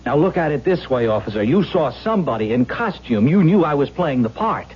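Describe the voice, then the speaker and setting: An actor playing a rattled, defensive murder suspect, halting and then emphatic, in a 1970s radio drama. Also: male voice, a little high, sing-song, breathy edge. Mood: insistent. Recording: muffled, noisy vintage radio broadcast with hum.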